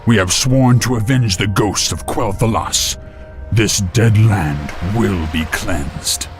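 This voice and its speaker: An actor playing a solemn, vengeful elf warrior in a video game cutscene. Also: male voice, fairly low pitch, slow pace, music in the background. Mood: solemn defiance.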